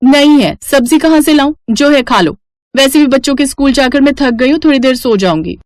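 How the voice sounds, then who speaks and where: A woman's voice: high voice, expressive, fairly quick, dry recording, voice pushed up. A woman voicing a young daughter-in-law in a cartoon family story, defensive and whining. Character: young daughters-in-law, excuse-making and squabbling housewives. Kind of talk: Hindi moral-story cartoon voice acting.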